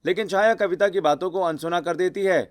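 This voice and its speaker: A man's voice: sits high, a little fast. A man narrating a scripted cartoon story.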